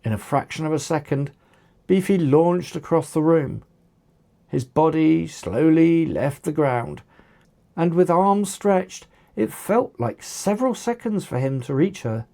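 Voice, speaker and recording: A narrator reading an action story as its author, with animated, dramatic delivery and acted dialogue. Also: medium pitch, unhurried, gravelly. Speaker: author narrating own book.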